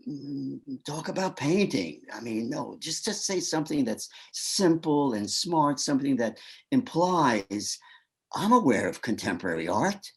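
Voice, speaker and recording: A man's voice: mid-range voice, sing-song, measured pace, slightly rough, full character voice. An actor playing a shy, nervous man who stammers and coaches himself through small talk, in a stage comedy. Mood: flustered. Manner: flustered, stammering, self-coaching. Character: shy nervous man named Pete at a gallery. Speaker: actor in a one-act play. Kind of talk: stage comedy inner monologue. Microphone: video call.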